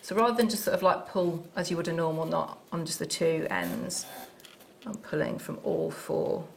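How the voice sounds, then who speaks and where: Gravelly-voiced woman demonstrating a craft. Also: low voice, normal speed, slight background noise. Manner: hesitant, explains with many fillers and restarts. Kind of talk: step-by-step demonstration. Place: TV studio.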